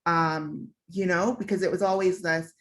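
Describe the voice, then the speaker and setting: A woman's voice: fairly low pitch, fairly even intonation, moderate pace, fairly bright tone. Candid young American woman telling a story. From United States. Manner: candid, reflective, with frequent "um" fillers. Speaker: panelist. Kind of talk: personal storytelling on a panel.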